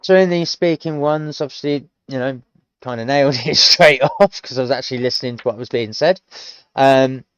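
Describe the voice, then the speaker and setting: A man's voice: medium pitch, moderate pace, a bit bright, smooth, no room sound, muffled recording. A British man in his thirties, teaching himself a language on stream, thinking aloud in a rambling, hesitant way. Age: 30s. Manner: rambling, hesitant, full of restarts. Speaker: self-taught language learner streaming. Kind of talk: casual thinking-aloud commentary.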